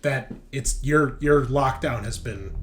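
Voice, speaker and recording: A man's voice: mid-range voice, slow, fairly bright tone, slight background noise. A man running a tabletop roleplaying game, improvising narration and giving rulings in a casual, conversational way. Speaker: game master.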